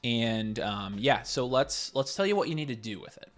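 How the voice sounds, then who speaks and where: A man's voice: medium pitch, animated, moderate pace, a bit bright, faint music behind. A man co-hosting a casual livestream, chatty and reassuring, giving advice with plenty of fillers. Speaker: co-host.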